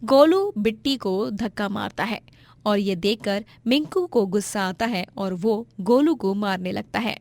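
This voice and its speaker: A woman's voice: medium pitch, animated, unhurried, a little mellow, no room sound. A woman reading scripted moral-story narration as the storyteller.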